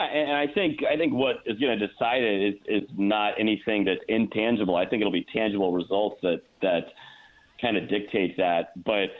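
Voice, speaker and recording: An American man giving analysis as a guest announcer in a sports radio interview, thinking aloud with repeated words. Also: lowish voice. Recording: dull, narrow-band phone-like line.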